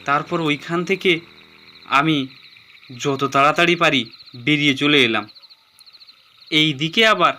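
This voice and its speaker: Bright, high-voiced man telling horror stories. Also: slow, pauses often, a little hiss or hum. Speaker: narrator and voice actor. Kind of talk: dramatized first-person horror storytelling.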